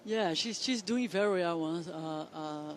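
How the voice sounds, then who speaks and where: A woman with a Brazilian Portuguese accent, a former pro surfer commentating live, halting with many fillers and restarts. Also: fairly low pitch, a little hiss or hum.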